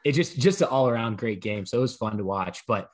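A man's voice: mid-range voice, a little fast. Young male co-host, easygoing, matter-of-fact stat breakdown, mid-range voice. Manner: relaxed, stat-heavy breakdown. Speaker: podcast co-host. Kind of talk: casual sports podcast analysis.

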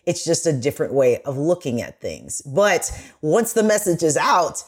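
A woman's voice: sits low, very animated, moderate pace, slightly rough. A woman on a podcast panel, speaking casually and explaining her thoughts in a reflective way.

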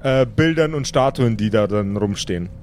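A man's voice: lowish voice, expressive, normal speed, a little mellow, a little hiss or hum. A man narrating an improvised tabletop adventure as game master.

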